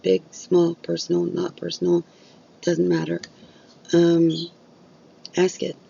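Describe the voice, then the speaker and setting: A woman's voice: fairly low pitch, completely flat delivery, slow pace, gravelly, warm tone, slightly rough, lo-fi sound. A woman vlogging candidly about chronic illness, blunt and open, swearing freely while losing her voice. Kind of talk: candid casual vlog talk.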